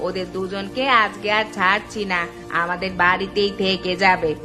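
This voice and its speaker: A woman's voice: mid-range voice, little pitch movement, moderate pace, music in the background, natural voice. A woman voicing a chatty, hospitable village housewife in a cartoon. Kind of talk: cartoon story acting.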